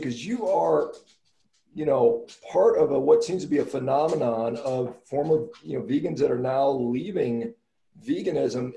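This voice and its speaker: A middle-aged American man with a creaky edge to a fairly high, mellow voice. He talks quickly and informally, rambling through his questions as a podcast host. The room gives his voice a clear echo. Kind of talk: casual interview chat. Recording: roomy, echoing sound.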